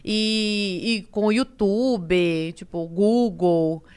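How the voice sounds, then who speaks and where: A woman's voice: medium pitch, steady tone, very slow, a little mellow, clear, resonant tone. A woman in her twenties from Cuba, a native Spanish speaker with a Cuban accent, telling stories casually and acting out bits of dialogue. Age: 20s. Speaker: podcast guest. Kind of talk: casual conversational storytelling.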